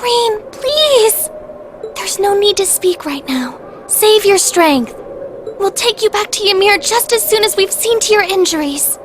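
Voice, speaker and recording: Super high girlish game heroine, urgent and caring, loud music under her. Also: measured pace. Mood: worried, tender. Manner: urgent, emphatic, expressive. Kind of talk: dramatic video game voice acting.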